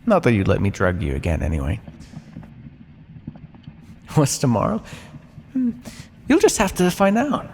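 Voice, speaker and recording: Man playing a smug, echoing, creaky-voiced vampire captor. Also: mid-range voice, wildly swooping pitch, a little mellow, a little hiss or hum, full character voice. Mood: smug, teasing. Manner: smug, teasing, dramatic with sighs and drawn-out words. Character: condescending, conflicted male vampire captor and scientist. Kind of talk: ASMR roleplay, theatrical monologue to the listener.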